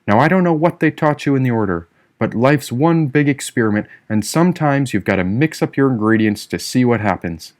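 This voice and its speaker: A man's voice: medium pitch, expressive, a little fast, hardly any pauses, natural voice. A man voicing a free-spirited, teasing young woman in an audiobook, with a breezy, irreverent manner. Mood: mischievous advising.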